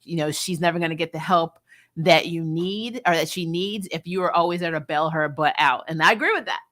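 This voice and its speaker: A woman hosting a casual, opinionated video review, talking candidly to viewers and retelling things in an animated, mildly sweary way. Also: on the low side, brisk. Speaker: video host reviewing a TV episode.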